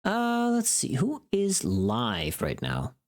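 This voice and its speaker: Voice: mid-range voice, wildly swooping pitch, slow pace, vocal fry. A livestreamer with a tired voice, chatting casually in a rambling, informal and mildly sweary way, close to the microphone. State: tired voice from long recording.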